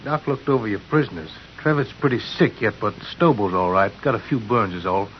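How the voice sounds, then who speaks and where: A man's voice: on the low side, animated, a little fast, natural voice. An actor playing a plain-spoken, loyal male jailer in an old western radio drama, folksy and frank. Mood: matter-of-fact. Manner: folksy, matter-of-fact. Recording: muffled, hissy old radio recording.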